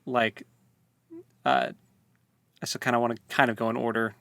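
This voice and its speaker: A man's voice: mid-range voice, big pitch swings, moderate pace, creaky, fairly bright tone, dry recording. A man co-hosting a casual book discussion podcast, hesitant and full of restarts as he talks through a story. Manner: hesitant, many fillers and restarts. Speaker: podcast co-host.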